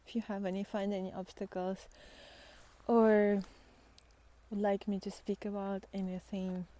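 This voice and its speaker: A woman giving an intimate, spontaneous spiritual talk as a guide, halting and tender, sometimes softening toward a whisper. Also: medium pitch, level tone. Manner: tender, halting, with long pauses, sighs and soft laughs. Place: outdoors in nature, walking by foggy fields.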